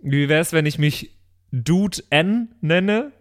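A man's voice: on the high side, animated, slow pace. Casual man chatting among players. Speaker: tabletop role-playing show player. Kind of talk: casual group chat.

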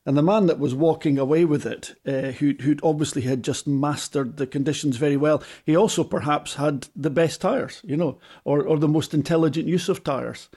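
A British man co-hosting a rally podcast, talking in an animated, emphatic way with frequent restarts. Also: on the high side, moderate pace, hardly any pauses. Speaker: podcast co-host, rally pundit. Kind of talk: casual podcast chat.

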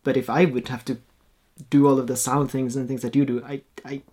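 Man, native Norwegian speaker, painter guest; thoughtful, halting delivery. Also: mid-range voice, little pitch movement, a little mellow. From Norway. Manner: reflective, hesitant, with frequent restarts and light laughs. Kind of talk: casual interview conversation.

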